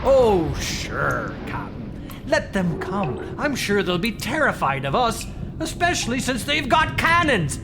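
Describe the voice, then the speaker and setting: An actor playing a brash, sarcastic harpooner in an audio drama, blunt and defiant, with loud music underneath. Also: male voice, sits high, wildly swooping pitch, takes it slowly, full character voice. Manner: sardonic, blunt.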